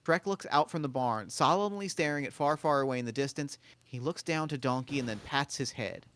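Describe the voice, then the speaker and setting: A man's voice: mid-range voice, a little fast, fairly bright tone. A man reading stage directions for a table read, plainly and with the odd sound effect.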